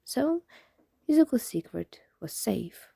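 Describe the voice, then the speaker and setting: A woman's voice: a little high, big pitch swings, takes it slowly, creaky, warm tone, slightly rough, no echo, studio quality. A woman reading fan fiction aloud as scripted narration, in the style of an audiobook. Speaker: fan fiction podfic reader.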